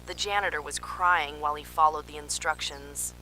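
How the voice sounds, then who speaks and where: Woman acting an urgent scientist, sharp bright voice, noisy transmission. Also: sits low, fairly even intonation, normal speed. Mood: grim, disturbed. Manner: urgent, dramatic, clinical reporting. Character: alarmed female park scientist transmitting desperate emergency warnings. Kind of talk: analog horror emergency narration, field report monologue.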